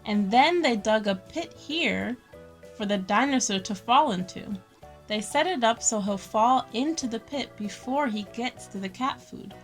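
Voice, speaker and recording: A woman's voice: fairly high pitch, expressive, measured pace, faint music behind. A woman hosting a children's story time, reading a picture book expressively with friendly asides and stressed key words.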